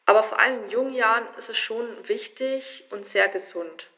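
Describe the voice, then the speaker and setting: A woman's voice: on the high side, moderate pace, pushed and bright. A woman giving a casual, balanced explanation as an interviewed expert over a phone call.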